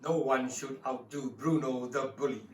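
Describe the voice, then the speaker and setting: A man telling a dramatised story for children, expressive and speaking directly to his listeners. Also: medium pitch, moderate pace, slight background noise. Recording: roomy, distant mic with faint music.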